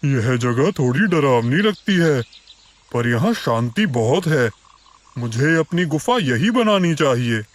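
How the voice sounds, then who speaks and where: A man's voice: mid-range voice, sing-song, slow. A man voicing an earnest, easily scared bear in a cartoon forest story. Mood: pondering.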